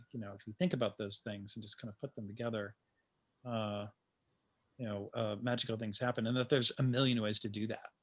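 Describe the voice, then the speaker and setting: A man who is a playwright, talking in a halting, effusive way in an informal discussion. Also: medium pitch, pushed and bright. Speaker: playwright, actor and teacher.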